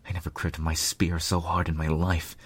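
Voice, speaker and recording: A man narrating a story in the first person with a measured, earnest, dramatic delivery. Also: deep voice, completely flat delivery, slightly rough, no echo.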